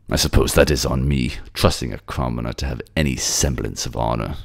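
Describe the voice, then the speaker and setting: A man plays a possessive, violent king with a very deep, gravelly voice. He snarls and commands like a captive tyrant in a dark fantasy audio drama, forceful and threatening. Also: normal speed, heavily acted. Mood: jealous, bitter.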